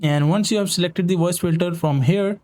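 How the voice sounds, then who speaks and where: A man narrating a step-by-step software tutorial in an informal, repetitive instructional way. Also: sits high, moderate pace. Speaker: tutorial host.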